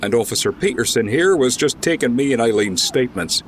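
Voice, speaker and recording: A man's voice: mid-range voice, expressive, fairly quick, slight background noise, natural voice. An actor playing a weary, glum male bar owner in a naturalistic audio drama.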